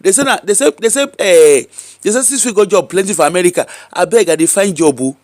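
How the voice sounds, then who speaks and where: A man's voice: high-pitched, expressive, quick pace, a little mellow, dry recording, studio quality. A man with a Nigerian accent giving casual, mocking commentary full of rhetorical questions. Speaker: social media commentator. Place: UK-based.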